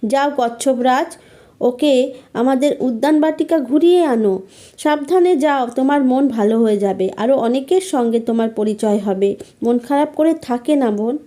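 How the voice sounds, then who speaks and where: Storybook fairy, performed by a woman: high, mellow, kindly, gently instructing and reassuring. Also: normal speed, close to an everyday voice. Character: kindly, reassuring female salt fairy with magic.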